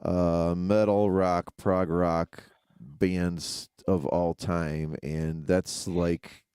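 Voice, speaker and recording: A man's voice: low-pitched, little pitch movement, very slow, a little mellow, clear, resonant tone, dry recording, studio quality. A man co-hosting a casual podcast, easygoing and laid-back in how he talks. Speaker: podcast co-host.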